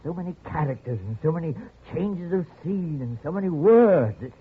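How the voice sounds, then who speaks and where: An actor playing an eager, gently obsessive old man in a 1940s radio drama, stammering and repeating himself. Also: a little high, expressive, moderate pace, slightly rough. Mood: fond, wistful. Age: older. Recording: muffled, noisy old radio broadcast.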